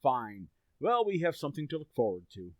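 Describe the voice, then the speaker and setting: A man playing a stern but forgiving suburban father in old-time radio comedy, with a husky voice. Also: on the high side, sing-song, a little fast, a little mellow, no room sound, highs cut off. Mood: apologetic, proud.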